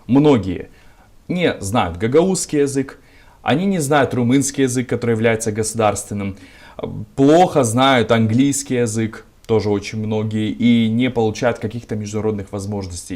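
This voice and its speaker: A young man from Gagauzia, a civic activist, answering interview questions in a measured, argumentative way with lots of filler words. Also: medium pitch, animated, darkish tone. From Gagauzia, Moldova.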